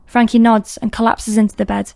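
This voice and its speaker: A woman's voice: on the high side, little pitch movement, a little fast, vocal fry, warm tone, no room sound, studio quality. A woman reading scripted narration for an audiobook drama.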